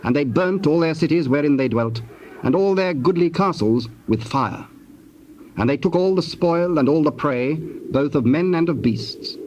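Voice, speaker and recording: A man narrating scripture from a script in a formal, measured, declamatory manner. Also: on the high side, expressive, a little mellow, slight background noise, poor audio.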